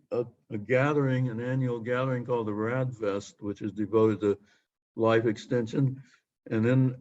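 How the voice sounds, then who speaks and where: A man's voice: mid-range voice, a little mellow. A man chatting about his trip while checking in on a video call. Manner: chatty, tells a story. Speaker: member checking in. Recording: dull lo-fi call audio.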